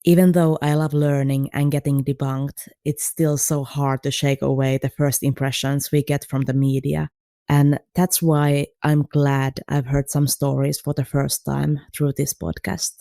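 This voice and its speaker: A woman with a Finnish accent reading a prepared listener message in an earnest, measured way. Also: very deep voice, level tone, creaky, dark tone, no echo, studio quality. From Finland.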